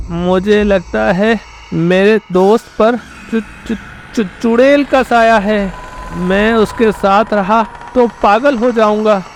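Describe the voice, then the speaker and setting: Guy doing worried cartoon drunk guy, pleading, kinda high and slow, faint noise behind. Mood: frightened. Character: anxious drunkard man worried for his friend. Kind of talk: animated horror story acting.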